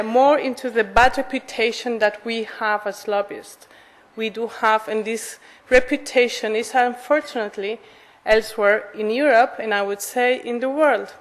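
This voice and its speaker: Higher-pitched Spanish-accented woman giving formal speech. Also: rough recording. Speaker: association president, lobbyist speaker. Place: conference in a parliament hall.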